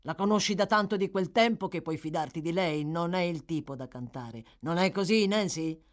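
A woman voicing a gruff, suspicious male burglar in an audiobook, blunt and demanding. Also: lowish voice, normal speed, natural voice.

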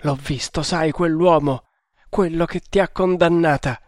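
A man's voice: on the high side, steady tone, measured pace, no echo, studio quality, natural voice. A man voicing a delirious wounded hunter, frantic and menacing, in a theatrical raving manner for an adventure audiobook. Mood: feverish boasting. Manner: raving, theatrical, swinging into shouts. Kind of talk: dramatic adventure audiobook reading.

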